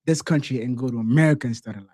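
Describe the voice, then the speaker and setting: A man from Tanzania hosting a casual interview, speaking in an animated, slangy and opinionated way. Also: medium pitch, racing, no echo, studio quality.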